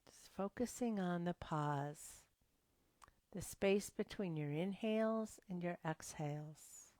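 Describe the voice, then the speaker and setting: A woman leading a gentle yoga and breathing class, speaking softly and sometimes dropping to a whisper. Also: on the low side, no room sound. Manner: slow, soft-spoken and gentle, drifting into whispers. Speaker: yoga instructor.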